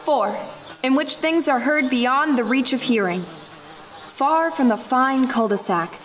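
A woman acting as a florid storyteller narrates a radio play. Her voice is high and carries long hall reverb. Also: expressive, takes it slowly, fairly bright tone, heavily acted. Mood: portentous.

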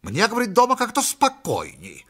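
Man voicing an indignant, high-pitched prim lady. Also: huge pitch swings, moderate pace, sharp tone, full character voice. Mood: huffy. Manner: huffy, complaining. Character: indignant prim woman companion, scolding and embarrassed. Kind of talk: comic storybook reading.

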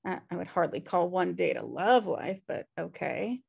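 A woman's voice: fairly low pitch, big pitch swings, normal speed, vocal fry, slightly rough, full character voice. A woman reading the part of a sardonic, wisecracking slacker sister in a sitcom table read over a video call. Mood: flippant. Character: flippant, sardonic slacker sister, adult twin. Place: indoor room at home on video call.

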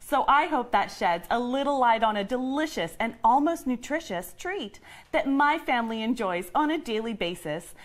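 A woman's voice: on the high side, expressive, normal speed, natural voice. A woman playing a perky 1950s housewife and mother, delivering cheery sales patter like an old radio advert. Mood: perky, wholesome.

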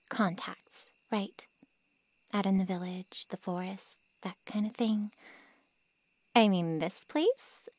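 A woman playing a snide, taunting female monster in an ASMR roleplay, with a sarcastic drawl and a breathy, half-whispered voice. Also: medium pitch, darkish tone, dry recording. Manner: sarcastic, insulting, teasing drawl.

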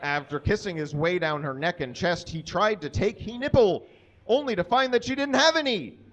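A man in his thirties giving a comic live reading of fan fiction on a small stage, deliberately tripping over the mistakes in the text. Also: high voice, animated, a bit bright. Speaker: live reader. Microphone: stand microphone on stage, filmed from a distance. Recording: dull, muffled live stage recording.

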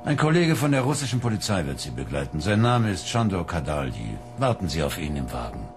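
An actor playing a businesslike police inspector giving a briefing in a radio drama. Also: male voice, low voice, very animated, a little fast, music in the background.

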